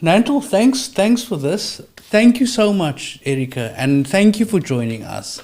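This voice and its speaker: Male interview host, informal and playful, animated intonation, stammers often. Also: high-pitched, measured pace. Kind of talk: interview conversation.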